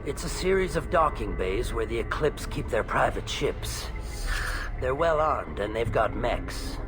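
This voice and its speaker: A man's voice: mid-range voice, animated, unhurried, over music. A man acting as a shifty, nervous alien merchant in a video game, with a wheedling, hesitant, theatrical delivery. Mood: sly, nervy.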